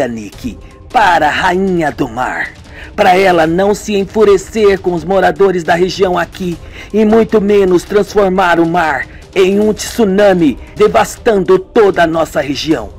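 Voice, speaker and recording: A man voicing a cold female cult villager who states threats calmly and matter-of-factly. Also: fairly low pitch, slightly rough, exaggerated voice. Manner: calm, matter-of-fact menace.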